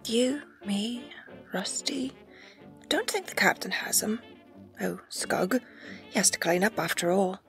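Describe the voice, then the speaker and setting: A woman voicing a kindly, fussing female office worker in an animal audio drama, in a soft, breathy voice. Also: medium pitch, lively intonation, takes it slowly, pauses often, faint music behind, voice pushed up. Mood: motherly.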